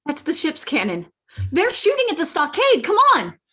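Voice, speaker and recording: A plucky boy adventurer played by a woman tells his tale in a radio play. The voice is fairly high and very animated, with earnest storytelling delivery. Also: moderate pace, heavily acted. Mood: urgent. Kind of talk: radio play narration and acting. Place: indoors, remote online reading.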